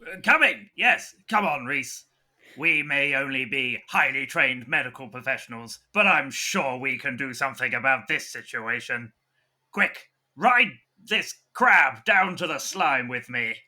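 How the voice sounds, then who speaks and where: A man's voice: mid-range voice, expressive, a little slow, pushed and bright, heavily acted. A man playing an eager, breezy, bumbling hospital medic in an improvised comedy roleplaying game. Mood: eager, flustered.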